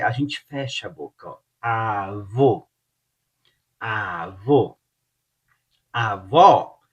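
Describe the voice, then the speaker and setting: A Brazilian man with a Brazilian Portuguese accent teaches pronunciation, saying each sound over and over in a patient, steady way. His voice is a bit husky and sits in an ordinary middle pitch. He talks very slowly. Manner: slow, drills words by repeating them. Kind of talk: pronunciation lesson.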